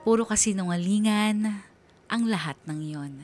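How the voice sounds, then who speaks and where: Woman playing young Filipino daughter, medium pitch, sad, confiding radio drama narration. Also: normal speed, a little mellow, faint music behind, close to an everyday voice. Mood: sad, reflective. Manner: wistful, confiding. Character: sad young daughter narrating her family's hidden troubles.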